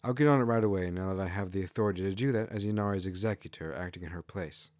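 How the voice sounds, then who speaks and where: A man's voice: low voice, quick pace, a little mellow, no echo, clean studio sound, close to an everyday voice. A man voicing a principled, helpful female kitsune clan leader, confident and earnest, in a fantasy story. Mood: eager, helpful.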